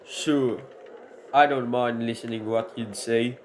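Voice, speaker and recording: A man's voice: medium pitch, takes it slowly, heavy background noise, natural voice. A man acting as poor convicted burglar, male, embittered, earnest, formal wording, emphatic, radio drama monologue. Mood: casual, curious.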